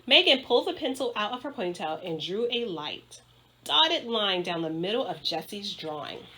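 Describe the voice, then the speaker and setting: A woman narrating a children's storybook aloud in an expressive style, like a school principal reading to pupils. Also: mid-range voice, moderate pace, slightly rough.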